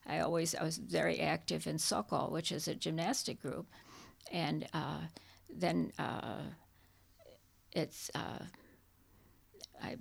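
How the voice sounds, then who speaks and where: Older woman with a gravelly, creaky voice. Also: sits low, fairly even intonation. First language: Czech. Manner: halting, reminiscing, many restarts. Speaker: interviewee, hiking club member. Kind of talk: oral history interview.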